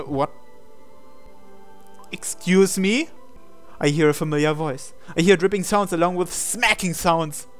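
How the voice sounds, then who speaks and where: A man reading a visual novel aloud as a gamer, breaking into casual, incredulous asides. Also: a little high. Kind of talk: game playthrough narration with casual asides.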